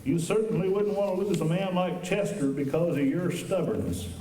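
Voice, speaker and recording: A man's voice: sits high, moderate pace, mellow, close to an everyday voice. A man acting as a blunt, folksy old-West town doctor who lectures his friend in a Western radio drama. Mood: scolding. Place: high school auditorium stage. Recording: roomy auditorium, distant mics, faint noise.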